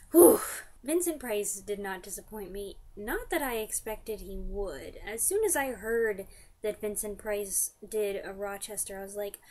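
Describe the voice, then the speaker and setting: A woman in her twenties chatting casually to camera, giving an enthusiastic fan review in informal slang. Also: fairly high pitch. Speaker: vlogger reviewing radio drama. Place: small furnished room indoors.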